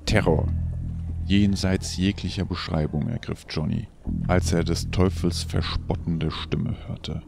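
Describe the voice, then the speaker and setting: A man playing the grave storyteller of a radio play, narrating in a dramatic, deliberate way with a gravelly voice. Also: low-pitched, little pitch movement, noisy background, exaggerated voice. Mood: ominous. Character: grave, ominous male storyteller.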